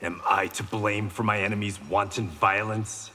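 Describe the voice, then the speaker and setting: A man's voice: on the low side, fairly even intonation, normal speed, long reverb tail, heavy background noise, lightly acted. An actor playing a stoic, dry-humored blind swordsman in a fighting game, terse and guarded. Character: stoic blind swordsman, reformed male criminal, proud and dry. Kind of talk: video game pre-fight banter.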